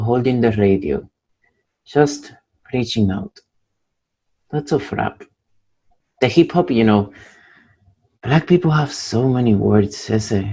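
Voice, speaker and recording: A man's voice: medium pitch, warm tone. Man playing an earnest young Mexican man. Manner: earnest, mixing Spanish phrases. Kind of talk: play reading.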